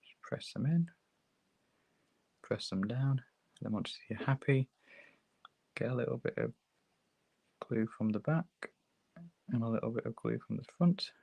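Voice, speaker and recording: A man with a hoarse sore throat, hosting a relaxed, chatty livestream tutorial while building a model kit at his workbench. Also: mid-range voice, a little slow, pauses often, no echo. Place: hobby workbench, filmed from overhead.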